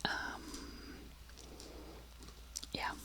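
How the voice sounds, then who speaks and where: Casting: ASMR book reviewer, soft breathy whisper throughout, unhurried and hesitant, feeling for words. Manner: halting, searching for words in a non-native language.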